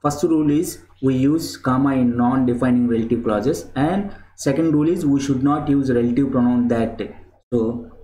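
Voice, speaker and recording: A young man teaching English grammar in a slow screen-share lesson. He repeats himself a lot and keeps addressing the viewers as "friends.". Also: medium pitch, dark tone. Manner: slow and repetitive, often saying "friends" to the viewers. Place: small indoor room. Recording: echoey room with clear background noise. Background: keyboard clicks and typing.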